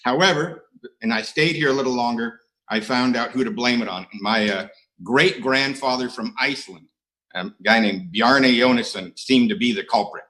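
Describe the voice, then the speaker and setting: A man's voice: mid-range voice, moderate pace, pushed and bright, rough recording. A middle-aged American man sharing his recovery story at an AA meeting, with dry, self-mocking humor and frequent hesitations. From United States. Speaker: AA speaker. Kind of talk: recovery share talk. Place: online conference.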